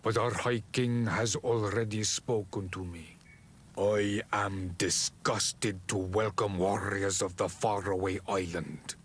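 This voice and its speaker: A man playing a proud, solemn native island warrior in a video game, with a deliberate and ceremonial manner. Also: fairly low pitch, steady tone, a little hiss or hum. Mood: grudging, grave. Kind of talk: video game cutscene dialogue acting.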